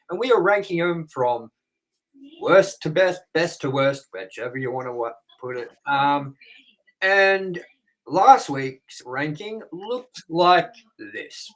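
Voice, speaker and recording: A man's voice: a little high, fairly bright tone, poor audio. A man co-hosting a movie review show, reading trivia and goofs from his notes in a casual way and swearing offhandedly.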